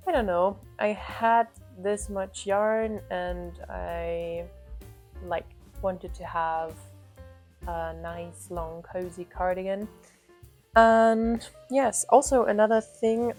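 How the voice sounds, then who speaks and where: Lady chatting about her knitting, slow and soft, a bit apologetic, low-ish voice. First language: German. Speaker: knitting podcast host. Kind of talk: casual chat to camera.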